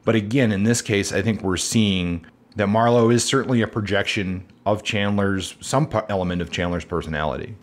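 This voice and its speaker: A man's voice: fairly low pitch, fairly quick, a little hiss or hum. A man with an American accent co-hosting a podcast, talking conversationally and thoughtfully about books, sometimes feeling for his phrasing. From United States, from Seattle and the Midwest. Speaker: podcast co-host. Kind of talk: conversational literary discussion.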